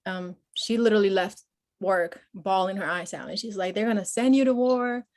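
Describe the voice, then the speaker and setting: A woman in her forties, born in Peru, speaks as a guest on a podcast. She talks openly and in a personal way, with lots of pauses and fillers, and her pitch sits mid-range for a woman. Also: darkish tone. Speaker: guest, Marine veteran and nonprofit founder. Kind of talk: conversational podcast interview.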